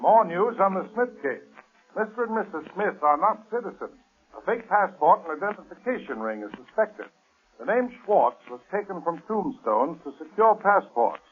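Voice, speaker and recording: An actor playing a formal radio newscaster reading a bulletin in a vintage radio drama, with crisp delivery. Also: male voice, high-pitched, big pitch swings, moderate pace, full character voice. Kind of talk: 1940s radio news reading. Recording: tinny old radio broadcast with faint music.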